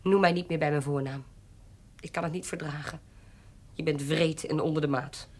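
An actress playing a proud, defiant young woman in her twenties in a period radio drama, commanding, accusing and sharp-tongued. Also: low-pitched, steady tone, moderate pace. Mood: scornful, defiant. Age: 20s.

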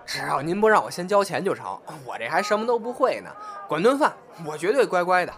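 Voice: medium pitch, very animated, fast talker, fairly bright tone, a little hiss or hum. A young adult actor playing a cheeky, eager novice apprentice in a Chinese audio drama.

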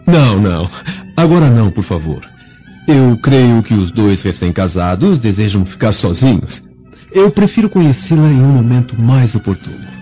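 Guy playing rich ex-slave in a radio drama, low mellow voice, super polite but scheming, muffled audio w music. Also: expressive, normal speed, lightly acted. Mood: politely declining. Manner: formal, courteous, polished. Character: wealthy, suave freed slave hiding vengeful intent.

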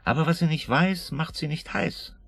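An actor playing a cheerful, boastful womanizer in a German radio drama, with a rough gravelly voice and a flippant, swaggering manner. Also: a little high, moderate pace, no room sound, studio quality. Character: cheerful, philandering, boastful brother, father of four.

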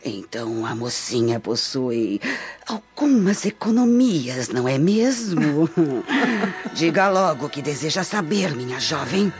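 A greedy old sorceress speaks in a mocking, theatrical drawl. Her pitch leaps and dips as she drags out each word. The radio-drama sound is slightly dull, with music underneath. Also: sing-song, takes it slowly, full character voice. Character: scheming old female sorceress, greedy and sly. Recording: slightly muffled with background music.

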